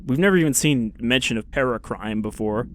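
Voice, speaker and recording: A young man commentating on a game as a let's play host, rambling casually and reading in-game documents out loud. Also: mid-range voice, fairly quick, slight background noise. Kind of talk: gameplay commentary, reading in-game files aloud.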